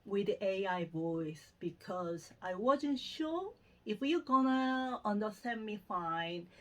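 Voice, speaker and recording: Woman, Korean accent, craft tutorial host, short simple instructions, slow pace, mid-range voice. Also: mid-range voice, lively intonation, dry recording. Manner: short simple directions in non-native English. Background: soft piano music.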